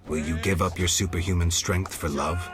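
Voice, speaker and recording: An actor playing a stoic, world-weary monster hunter in a video game, terse and deadpan. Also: male voice, deep voice, moderate pace, natural voice. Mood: terse, calm. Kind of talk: video game dialogue acting.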